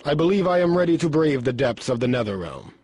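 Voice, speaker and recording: A man's voice: on the high side, big pitch swings, fast talker, darkish tone, husky, soft background music. A man voicing an earnest, polite heroic warrior on a quest in a video game cutscene. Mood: courteous, resolute. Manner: formal, courteous, earnest.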